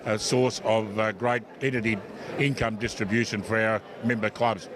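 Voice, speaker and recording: A man's voice: fairly low pitch, steady tone, moderate pace. An Australian man, a club chairman, giving an informal, matey interview. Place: entertainment venue during a party. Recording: loud party crowd behind.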